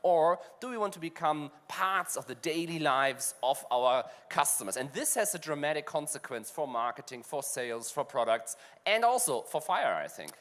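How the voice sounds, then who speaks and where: A man's voice: a little high, animated, moderate pace, a bit bright. A man with a German accent, co-hosting a conversation on a conference stage, talking loosely with lots of "you know" fillers. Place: large conference hall stage. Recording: long reverb of a large hall.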